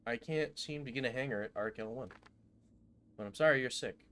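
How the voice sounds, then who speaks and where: A man with a stuffy nose from a cold, streaming a game and chatting with viewers in a relaxed way full of fillers. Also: medium pitch, brisk, a little hiss or hum. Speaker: gaming streamer. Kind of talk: casual live-stream chat while playing.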